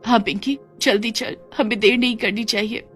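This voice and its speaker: A woman's voice: on the high side, fairly even intonation, moderate pace, fairly bright tone, soft background music, close to an everyday voice. A woman voicing a worried, devoted poor mother in a cartoon moral story. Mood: reassuring.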